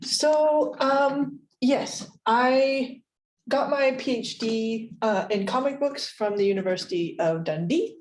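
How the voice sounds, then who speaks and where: A woman's voice: sits high, takes it slowly, darkish tone, reverberant, slight background noise, low-quality recording. A woman with an American accent giving a friendly, chatty research seminar with frequent fillers and light laughs. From United States. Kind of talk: academic seminar talk. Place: university seminar room.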